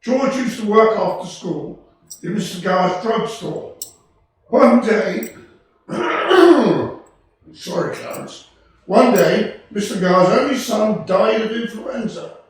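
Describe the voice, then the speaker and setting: Guy as bossy head angel telling a story, folksy, high lively voice, old radio play. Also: takes it slowly. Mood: brisk, instructive. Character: brisk, authoritative senior male angel telling a life story. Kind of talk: 1940s radio play acting on stage. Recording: distant, very echoey hall.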